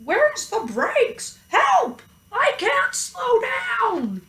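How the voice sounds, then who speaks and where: A scatterbrained cow careening down the road, squeaking out breathless cries, as a woman puts her on while reading. Her voice leaps high, and the room echoes. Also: very animated, slow pace. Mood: panicked. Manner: breathless, panicky. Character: flustered cow driving a pickup truck.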